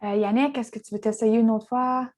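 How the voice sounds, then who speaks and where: A woman's voice: a little high, fairly even intonation, normal speed, darkish tone. A woman organizing an online panel, practical and direct over a video call. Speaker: event organizer. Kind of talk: managing a tech problem online.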